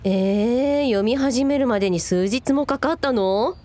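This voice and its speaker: A woman's voice: on the high side, measured pace, warm tone, natural voice. A woman playing a breezy, teasing young woman in casual radio drama dialogue. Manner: teasing, playful.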